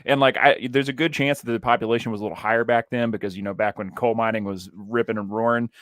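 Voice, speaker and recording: A man talks in a loose, rambling way on a podcast, swearing casually as he goes. His voice sits at an ordinary middle pitch. The close mic sounds dry, with no room in it. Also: fairly even intonation, fast talker, a bit bright, no echo. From Kentucky, USA. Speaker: podcast co-host. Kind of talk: casual chat.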